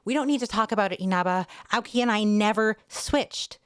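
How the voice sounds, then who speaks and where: A woman's voice: mid-range voice, fairly quick, smooth, no room sound, studio quality, lightly acted. A woman voicing an agitated, stubborn teenage girl in a storybook reading, with an emphatic, dramatic manner. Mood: wailing, disgusted.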